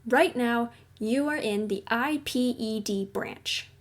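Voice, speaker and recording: A woman playing a caring, reassuring counselor at a secret base in an audio roleplay, speaking gently and explaining things soothingly. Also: fairly high pitch, takes it slowly, background noise. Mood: calm, explanatory. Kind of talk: audio roleplay addressed to the listener.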